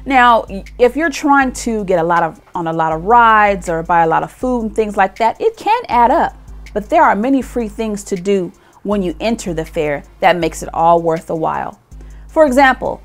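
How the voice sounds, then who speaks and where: A woman narrates in an upbeat, conversational voice-over at a middle pitch. Light music plays faintly behind her. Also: lively intonation, normal speed. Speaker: show host.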